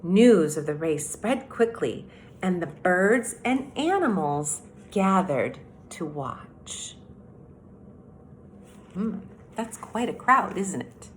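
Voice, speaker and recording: Woman teacher with lively, swooping intonation. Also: on the low side, a little hiss or hum. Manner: deliberate, instructive, addressing young children. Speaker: elementary school teacher. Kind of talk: children's lesson and story read-aloud.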